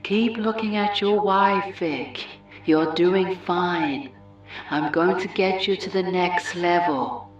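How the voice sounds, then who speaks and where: Actress as menacing lab seductress for radio play, lowish voice, measured commands, drenched in echo and set back from the mic. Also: female voice, lo-fi sound, faint music behind. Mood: coaxing. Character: controlling seductive female experimenter, cool and menacing. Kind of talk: audio play acting. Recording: very echoey, distant-sounding voice.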